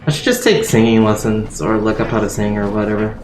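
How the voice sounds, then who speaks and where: A man's voice: fairly low pitch, a little fast, darkish tone. A young man chatting on a live game stream, rambling, distracted and poking fun at himself. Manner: rambling, self-mocking, easily distracted. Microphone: home streaming mic. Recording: echoey room with game music.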